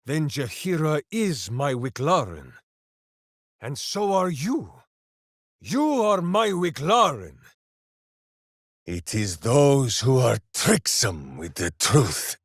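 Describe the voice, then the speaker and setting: A big-hearted, simple video game warrior hero who devotedly dotes on his pet hamster. The delivery is bombastic and swings wildly in pitch, taken at an unhurried pace. The sound is close and dry. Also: dry recording, heavily acted. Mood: indignant. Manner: bombastic, speaks of himself in the third person. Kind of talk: video game character voice lines.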